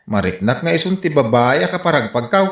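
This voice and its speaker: A man with an Ilocano accent acting an excitable, pleading man in a rural comedy radio drama. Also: a little high, fairly quick, reverberant. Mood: pleading, earnest.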